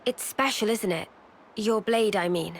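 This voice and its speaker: A woman's voice: medium pitch, unhurried, slight background noise, close to an everyday voice. A woman voicing a curious, thoughtful young female fighter in a video game cutscene, with a musing manner. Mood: curious, gentle.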